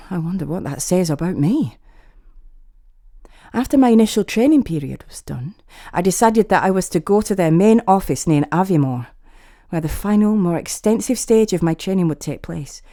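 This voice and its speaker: A woman's voice: mid-range voice, dark tone, no echo, studio quality. A woman reading scripted first-person fantasy horror narration as a storyteller.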